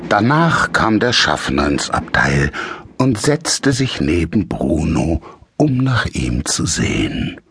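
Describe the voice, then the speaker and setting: A man with a husky, gravelly voice narrating a children's story in an expressive, dramatic way. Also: rumbling low, slow, no echo. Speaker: audiobook narrator.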